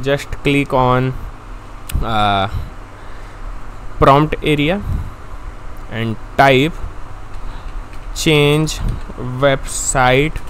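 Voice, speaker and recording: A young man with an Indian accent, a native Hindi speaker, slowly walking through a software tutorial step by step. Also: on the high side, a little hiss or hum, rough recording. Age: young adult. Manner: slow, step-by-step, excitable. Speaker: tutorial host. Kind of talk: screen-recorded software tutorial. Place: indoor room. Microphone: handheld foam-covered mic.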